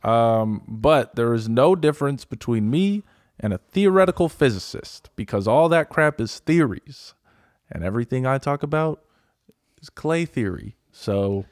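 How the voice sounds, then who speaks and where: An American man chatting casually as a podcast co-host, with a deadpan, self-mocking manner. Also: medium pitch, a little slow, no echo, studio quality. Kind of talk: casual banter.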